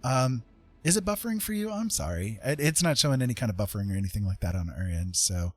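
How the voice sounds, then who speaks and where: A man's voice: medium pitch, big pitch swings, fast, darkish tone. A man chatting casually with livestream viewers in a rambling, conversational way. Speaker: livestream host. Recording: faint music behind the voice. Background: music.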